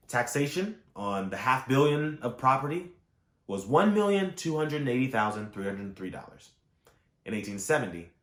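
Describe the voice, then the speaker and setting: A man reading historical nonfiction aloud in a measured, formal way, phrasing each clause clearly. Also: fairly low pitch. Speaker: audiobook reader. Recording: roomy, echoing voice recording.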